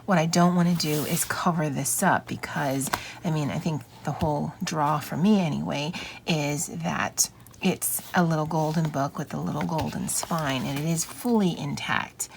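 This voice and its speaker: Woman, slightly low voice, casual craft vlog commentary, musing and wry, faint noise behind. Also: normal speed. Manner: musing, informal, wry asides. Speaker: craft channel host. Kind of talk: casual chatty flip-through commentary. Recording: clear steady background noise.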